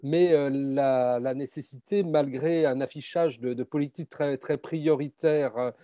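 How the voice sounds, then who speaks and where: A man's voice: mid-range voice, a little slow, dark tone, no echo, clean studio sound. A man moderating a conference panel, putting his questions in hesitant short phrases.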